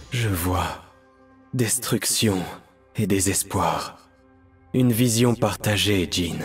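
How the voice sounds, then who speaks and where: An actor playing a melancholic painter mage torn between light and darkness, speaking solemn, measured, poetic lines for a video game. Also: male voice, fairly low pitch, breathy edge, heavily acted. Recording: music behind the voice.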